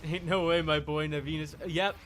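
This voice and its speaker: A man giving casual, deadpan joking live commentary while playing a video game. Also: fairly high pitch, normal speed. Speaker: game streamer. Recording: clear background noise.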